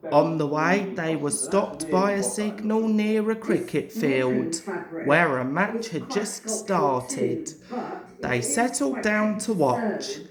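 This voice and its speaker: A woman reading a children's story as an expressive narrator. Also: mid-range voice. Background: music.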